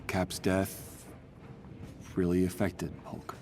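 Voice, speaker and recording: An actor playing a weary, worried scientist hero in a video game, halting and subdued. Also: male voice, low voice, monotone, a little mellow, soft background music. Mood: weary, troubled.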